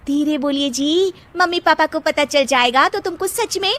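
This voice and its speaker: A woman's voice: high-pitched, moderate pace, a bit bright. A woman voicing an exasperated young wife who nags and scolds emphatically. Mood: exasperated, scolding. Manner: nagging, emphatic.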